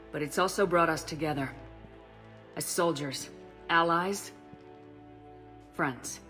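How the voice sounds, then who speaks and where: An actress playing a resolute, steadfast military commander in a video game, speaking firmly and directly. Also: female voice, low-pitched, a bit bright. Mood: solemn, rallying. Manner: firm, direct, rallying. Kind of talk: video game dialogue acting. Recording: music playing behind the voice.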